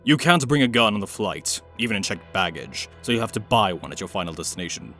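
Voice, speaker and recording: A man playing a cold, commanding middle-aged villain in a comic dub, haughty and measured. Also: fairly low pitch, animated, fairly bright tone. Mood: cold, menacing. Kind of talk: dramatic comic dub acting. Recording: music behind the voice.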